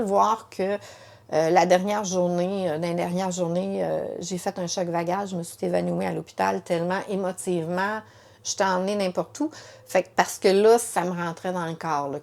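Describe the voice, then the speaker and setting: A middle-aged woman with a Quebec French accent telling her story as a mother, halting and repeating words with many fillers. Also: on the low side, gravelly, a little mellow. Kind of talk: emotional personal testimony in conversation.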